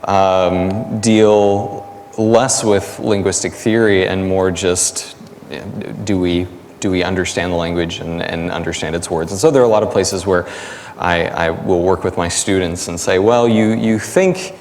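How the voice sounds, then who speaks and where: A man's voice: low-pitched, steady tone, unhurried, a little mellow, a little hiss or hum. A man, an academic guest in an interview, explaining things in a thinking-aloud way with everyday examples. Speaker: academic guest, biblical language scholar and teacher. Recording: echoey hall, long reverb.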